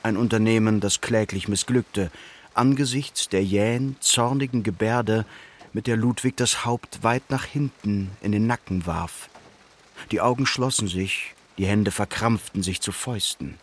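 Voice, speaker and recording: A man narrating a literary radio drama in a measured, solemn and precise manner. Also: on the low side.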